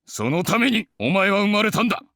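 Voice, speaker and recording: A man's voice: high-pitched, sing-song, rapid-fire, pushed and bright, dry recording, studio quality, heavily acted. A male actor playing a haughty, self-centered company boss in a visual novel, imperious and blustering. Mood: domineering. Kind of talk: Japanese visual novel acting. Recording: music behind the voice.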